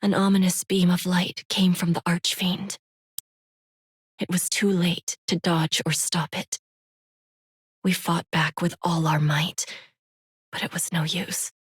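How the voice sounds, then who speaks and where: Earnest, slow-spoken young pupil. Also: female voice, on the low side, flat delivery, husky, dry recording, heavily acted. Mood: sorrowful. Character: earnest, devoted young female pupil, wistful. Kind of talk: dramatic first-person game narration. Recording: loud music behind.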